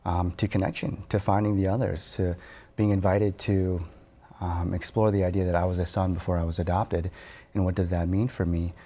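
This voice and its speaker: A man, a therapist and author, speaking softly and reflectively as a TV interview guest, pausing often with fillers. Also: deep voice, little pitch movement. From born in Korea, raised in Wisconsin. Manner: soft-spoken, reflective, halting with fillers.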